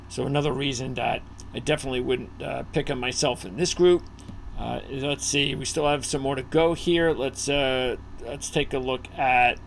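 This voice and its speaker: Gravelly host talking through a list. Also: medium pitch, a little hiss or hum. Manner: thinks aloud, with frequent fillers while scanning a list. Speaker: host of a racing betting preview. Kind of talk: casual chat over a video call. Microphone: video call.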